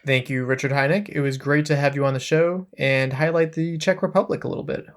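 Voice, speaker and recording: A man's voice: mid-range voice, fairly even intonation, brisk. A man hosting a podcast, chatting casually in an easygoing, upbeat way. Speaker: podcast host. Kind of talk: casual podcast chat and outro.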